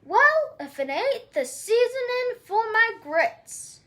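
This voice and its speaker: A woman voicing a huge, mean male giant in a storybook, chanting and shouting drawn-out threats. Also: extremely high pitch, sing-song, pitched above the performer's own voice, exaggerated voice. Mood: menacing.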